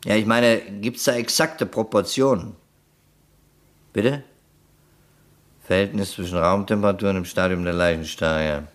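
A man's voice: deep voice, normal speed, pauses often, close to an everyday voice. A man playing a persistent, matter-of-fact police detective in a German crime radio play, talking in a dry, probing, conversational way. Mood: businesslike.

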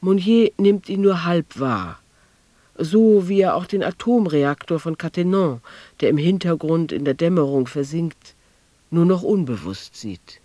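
Sober literary radio narration, slightly high voice, super dry sound, careful and observant. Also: male voice, lively intonation, unhurried, warm tone, no echo. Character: measured male narrator of a literary radio play.

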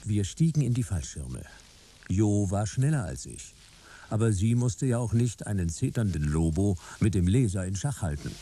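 Wry sci-fi noir detective man, laconic, deep gravelly voice, radio play. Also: moderate pace, exaggerated voice. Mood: laconic narration. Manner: laconic, deadpan. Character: wry hard-boiled male sci-fi private detective narrating his case. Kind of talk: radio drama narration and dialogue, noir detective.

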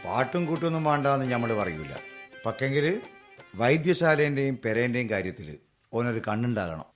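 A man's voice: medium pitch, big pitch swings, moderate pace, faint music behind, natural voice. Sceptical Malabar village elder, acted by a man. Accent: Malabar Malayalam. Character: practical, sceptical village elder negotiating a marriage.

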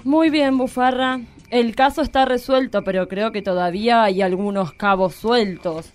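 An actress playing a stern judge who questions the inspector in a measured way in an amateur radio play. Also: female voice, fairly high pitch, natural voice.

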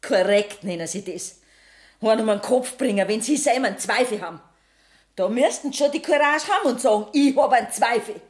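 Radio play casting: a woman as a defiant, bitter Bavarian convict's mother, earthy and blunt, voice on the high side. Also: expressive, a little fast, natural voice. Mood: pleading, reproachful. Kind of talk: 1970s German radio play acting.

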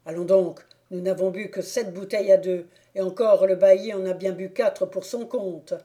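A woman's voice: mid-range voice, steady tone, normal speed, dark tone, natural voice. A woman voicing a drunken young clog-maker who is touchy, quarrelsome and boastful.

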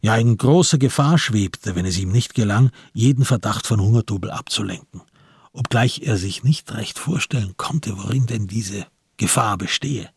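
A man narrates a radio drama from a script. His voice is very rough and breathy-husky, with a gravelly creak, set a little low. It is recorded dry, without room sound. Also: normal speed, no echo.

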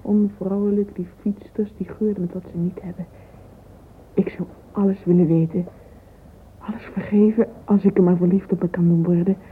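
A woman's voice: mid-range voice, steady tone, normal speed, lightly acted. An actress playing a dreamy, yearning, romantic young woman in a radio drama monologue. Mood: dreamy longing. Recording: thin phone-like old radio recording, noisy.

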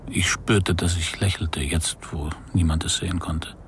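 An actor playing a brooding, guarded investigator in a radio crime drama, laconic and introspective, with a gravelly voice. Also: male voice, sits low, steady tone, measured pace, music in the background. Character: brooding, guarded investigator narrating his own case. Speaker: lead actor and first-person narrator.

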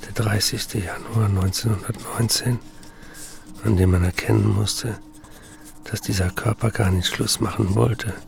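A low-voiced, tormented writer, brooding through his confessions in a literary radio play. His speech is measured, with a gravelly creak. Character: tormented, addicted male writer reading his letters and confessions.

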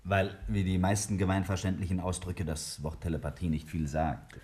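A man's voice: low-pitched, level tone, moderate pace, darkish tone, husky. A man playing a brilliant, self-assured scientist in a 1950s German radio drama, lecturing emphatically and brusquely. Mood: emphatic, certain. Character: brilliant, self-assured male scientist explaining a daring theory. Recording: vintage 1950s radio play recording.

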